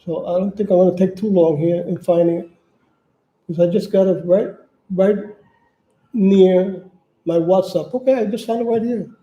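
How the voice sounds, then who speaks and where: A man from New York with a high-pitched voice that still sounds very dark and mellow. He talks off the cuff to his viewers, stumbling and repeating words as he searches for his next phrase. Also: steady tone, moderate pace, pauses often, lo-fi sound. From Manhattan, New York, United States. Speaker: channel host appealing for donations. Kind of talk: casual unscripted livestream talk.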